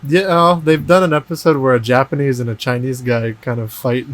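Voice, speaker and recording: Deadpan man trading quips. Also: mid-range voice, fairly quick, dry recording. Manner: dry deadpan quips, short agreeing replies. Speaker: podcast co-host. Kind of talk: casual chat.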